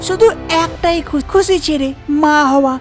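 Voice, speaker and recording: A woman's voice: high voice, normal speed, a little mellow, soft background music, voice pushed up, close to an everyday voice. A woman voicing a spoiled, demanding rich young woman in a cartoon folk tale. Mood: haughty, demanding. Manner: boastful, blaming.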